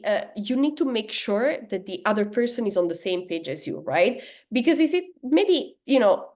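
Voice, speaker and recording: A woman with an Italian accent and Italian as her first language, giving a business sales training lesson in a chatty style. She uses lots of "right?" and "uh" fillers and acts out sample customer dialogues. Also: medium pitch.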